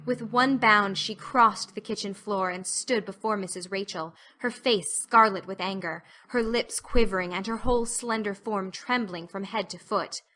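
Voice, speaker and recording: A woman narrating a literary audiobook as an expressive storyteller. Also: mid-range voice, normal speed, hardly any pauses.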